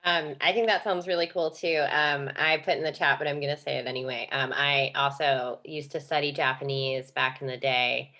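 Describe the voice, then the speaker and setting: Deep-voiced young woman, chatting informally. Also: fairly even intonation, moderate pace, hardly any pauses, bright, forceful tone. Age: young adult. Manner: informal, rambling. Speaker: university student. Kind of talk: casual chat.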